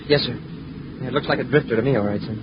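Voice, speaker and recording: Guy playing keen boyish space cadet, old radio serial, talks fast, dull sound w a bit of noise. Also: mid-range voice, close to an everyday voice. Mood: alert. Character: eager, deferential young male space cadet. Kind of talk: 1950s radio drama acting. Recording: muffled, noisy vintage radio recording.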